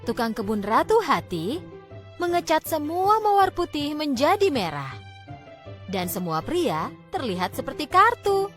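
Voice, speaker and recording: A woman narrating a scripted fairy tale for children, expressively and with deliberate phrasing. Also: high-pitched, very animated. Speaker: storyteller. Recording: background music.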